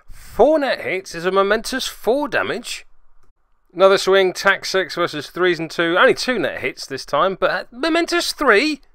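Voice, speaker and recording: Male host for tabletop game recap: lively, bright delivery, play-by-play narration with dry sarcasm. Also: medium pitch, smooth, no room sound. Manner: dryly sarcastic asides.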